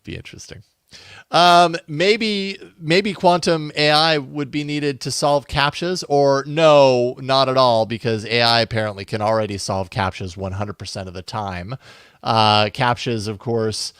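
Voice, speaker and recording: A man's voice: medium pitch, steady tone, measured pace, a bit bright, smooth, no room sound. American man, casual podcast host, dry close sound. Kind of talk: casual tech podcast discussion.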